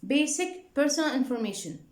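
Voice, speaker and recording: A woman's voice: high-pitched, sing-song, a little fast. A woman teacher giving a classroom lesson, often checking in with her students and using frequent fillers.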